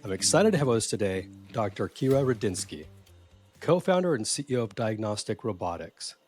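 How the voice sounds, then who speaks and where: A man's voice: fairly low pitch, big pitch swings, a little fast, darkish tone, slightly rough, no room sound, soft background music. A man from California hosting a podcast interview, with upbeat delivery and a remote call setup. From California, USA. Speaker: podcast host.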